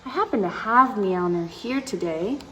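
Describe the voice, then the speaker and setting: A woman's voice: mid-range voice, lively intonation, moderate pace, background noise. A woman reading a picture book aloud to children, with playful asides and dramatic emphasis, speaking straight to her young listeners.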